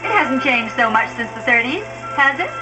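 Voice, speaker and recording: A woman narrates a fashion newsreel in a high voice. She sounds arch and quippy as she reads playful scripted lines, with loud music behind her on an old soundtrack. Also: sing-song, normal speed, rough recording.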